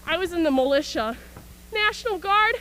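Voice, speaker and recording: A woman's voice: extremely high pitch, lively intonation, normal speed, very noisy. A woman acting an embittered, manic militia soldier in a stage radio play, ranting in colloquial speech about surviving an invasion. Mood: scornful, resigned. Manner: colloquial, ranting, punctuated by scornful laughs. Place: school auxiliary gym.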